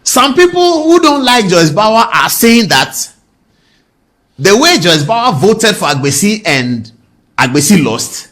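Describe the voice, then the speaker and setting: Animated Ghanaian male political commentator. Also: sits high, slow pace. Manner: storytelling with rhetorical questions and emphatic repetition. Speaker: talk show host and political commentator. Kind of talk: conversational political commentary to viewers.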